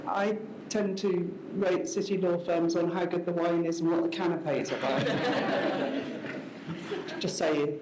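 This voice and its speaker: A woman's voice: lowish voice, fairly even intonation, slow pace, pauses often, background noise. A woman in her sixties who grew up in Scotland, telling her story to an audience at a live event. She is dry and self-mocking, and she often stops and restarts her sentences. The sound is roomy, as in a hall. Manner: wry, self-deprecating, many restarts and fillers. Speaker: guest speaker. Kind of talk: conversational talk on stage.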